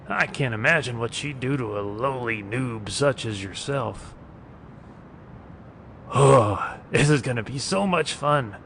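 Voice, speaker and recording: Guy doing a sardonic cartoon bum, really slow fake-polite taunts, noisy rumbly background. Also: animated, fairly bright tone, full character voice. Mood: gleeful taunting. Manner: mock-polite, drawn-out, sardonic. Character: wry, taunting street bum, vigilante's sidekick. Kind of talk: animated series dialogue. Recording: clear low rumbling background noise.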